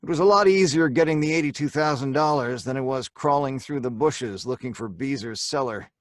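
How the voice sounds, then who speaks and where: A man's voice: mid-range voice, fairly quick, dry recording, studio quality, close to an everyday voice. An actor playing a brash, mocking crook who narrates his own tale in slangy old-time radio drama style. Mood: tense storytelling. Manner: slangy, hard-boiled tough talk.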